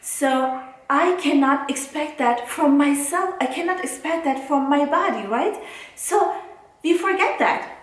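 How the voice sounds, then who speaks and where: A woman's voice: high-pitched, lively intonation, a little slow. A woman from Turkey with a Turkish accent, giving a casual motivational talk to camera in a blunt, emphatic way, often checking for agreement. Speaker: self-care and productivity vlogger. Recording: very echoey, reverberant room.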